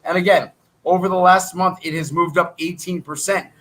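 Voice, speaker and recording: A middle-aged man coaching traders on a live chat, talking in brisk salesy patter while thinking aloud, and he is losing his voice. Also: sits high, fairly even intonation, fairly bright tone, a little hiss or hum, low-quality recording. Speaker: trading coach.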